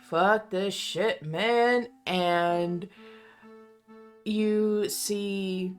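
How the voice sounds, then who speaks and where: A woman's voice: fairly low pitch, a bit bright. A woman narrating an improvised tabletop roleplaying scene as game master.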